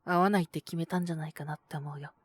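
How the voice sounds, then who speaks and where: A woman voicing a calm, protective male owner who talks in a gentle, measured, softly teasing way in an audio drama. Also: low voice, slightly rough, dry recording. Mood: gentle, explaining. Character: calm, protective adult male bar owner mentoring a youth. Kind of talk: Japanese situational audio drama acting.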